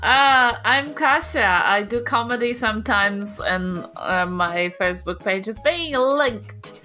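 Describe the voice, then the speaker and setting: A woman's voice: on the high side, slow, bright, forceful tone, faint music behind. A woman chatting casually as a podcast regular, with a dry, self-deprecating manner. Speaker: podcast player and comedian. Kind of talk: casual chat.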